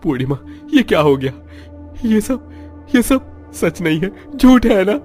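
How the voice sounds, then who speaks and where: A man's voice: high voice, very animated, measured pace, a little mellow, faint music behind. A man playing a devoted, wronged husband and father in a cartoon drama, emphatic and melodramatic. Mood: shocked disbelief. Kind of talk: melodramatic Hindi cartoon dialogue.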